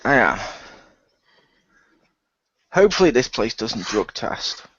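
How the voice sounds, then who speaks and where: A man's voice: medium pitch, sing-song, slow pace, fairly bright tone, breathy edge, no room sound, lo-fi sound, exaggerated voice. A man playing a brash, sardonic ex-athlete hero in an improvised audio role-play. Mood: confessional.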